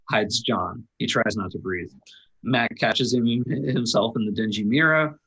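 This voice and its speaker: Slightly husky man reading stage directions. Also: fairly low pitch, a bit bright, poor audio. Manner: stumbles over and corrects words. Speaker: stage directions reader at a table read. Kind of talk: scripted narration, read aloud.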